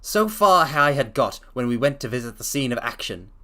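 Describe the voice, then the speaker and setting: A man's voice: mid-range voice, sing-song, moderate pace, pushed and bright. A man voicing a brilliant, languid detective explaining his deductions in a theatrical storybook reading. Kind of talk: dramatic reading.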